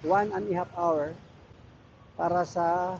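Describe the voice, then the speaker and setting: A man with a Filipino accent chatting casually and haltingly as a motorcycle vlogger, recorded on a wireless mic while riding. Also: high voice, steady tone. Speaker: motorcycle vlogger and ride-hailing rider. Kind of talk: casual motovlog chat. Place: outdoors on a motorcycle on city roads. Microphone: wireless Bluetooth mic while riding. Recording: dull wireless mic, loud traffic noise. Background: loud vehicle and road noise.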